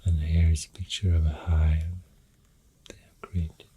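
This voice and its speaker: Swedish accent, ASMR rambling, slow halting delivery, soft mellow tone, husky half-whisper, close microphone. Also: rumbling low, little pitch movement, a little hiss or hum. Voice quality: breathy, husky, often slipping into whisper. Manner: slow, hesitant, pausing between phrases. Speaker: ASMR creator. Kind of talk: soft-spoken ASMR rambling while drawing.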